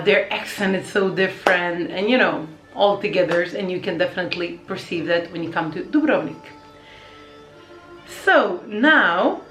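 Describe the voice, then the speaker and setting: A woman's voice: fairly low pitch, a bit bright, roomy sound. A Croatian woman who teaches languages, chatting casually to camera in English with a Croatian accent, with lots of fillers and restarts and the odd French or Croatian word.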